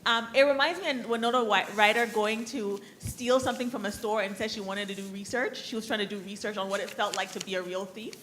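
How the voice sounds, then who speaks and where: A woman speaking informally from the floor at a conference, candid and wry, in a halting, discursive way. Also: mid-range voice, a bit bright. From Liberia, grew up in the US. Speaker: audience member, central bank staffer. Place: conference hall, audience floor. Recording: noisy conference room microphone.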